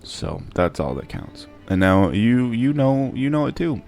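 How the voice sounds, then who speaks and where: A man narrating a casual DIY how-to in an informal, halting, hesitant way. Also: mid-range voice, very animated, gravelly, a little mellow. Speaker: DIY prop maker and channel host. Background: music.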